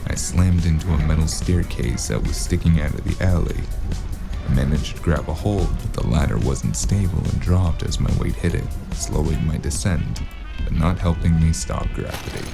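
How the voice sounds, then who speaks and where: Deep and thick with gravel, this is a man acting as a world-weary cyberpunk investigator. He tells his story in a sardonic, swearing noir style. Music plays clearly behind him. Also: moderate pace. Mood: tense, weary. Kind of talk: scripted first-person noir audio drama narration.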